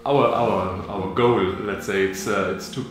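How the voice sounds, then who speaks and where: A man's voice: fairly low pitch, steady tone, unhurried. A man who manages a winery, answering interview questions with many fillers and restarts. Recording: very echoey with background music. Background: music.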